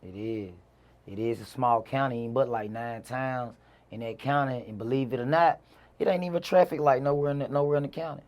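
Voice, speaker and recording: A man's voice: medium pitch, fairly even intonation, a little fast, darkish tone. Southern man with a Mississippi drawl, slangy. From Mississippi, USA. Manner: slangy, repeats himself, frequent "you know". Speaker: interview guest, rapper. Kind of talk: casual conversational storytelling.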